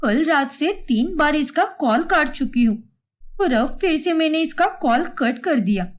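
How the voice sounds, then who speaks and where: A woman's voice: high-pitched, expressive, measured pace. Woman doing a scheming young wife. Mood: panicked.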